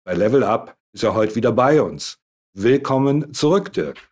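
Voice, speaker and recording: Ein Mann, der als Gastgeber eine Online-Panel-Fragerunde moderiert und die Gäste förmlich und gemessen vorstellt. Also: male voice, mid-range voice, lively intonation, darkish tone. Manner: introduces guests in a measured, formal tone. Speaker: host.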